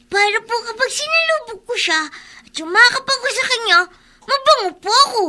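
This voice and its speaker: High, bright little child, sweet and polite. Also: animated, a little fast, exaggerated voice. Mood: eager. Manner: polite, innocent, earnest. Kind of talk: Tagalog radio drama acting.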